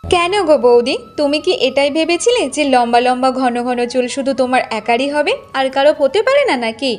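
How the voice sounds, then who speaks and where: A woman's voice: sits high, lively intonation, a little fast. A woman voicing a proud, defiant young village woman in a cartoon, answering back with firm taunts. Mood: taunting, smug. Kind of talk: Bengali cartoon voice acting.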